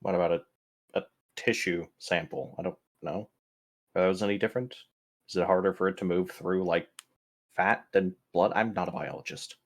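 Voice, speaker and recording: A man's voice: sits low, steady tone, moderate pace. A man playing in a tabletop roleplay, talking casually in character with a questioning, dryly wry manner.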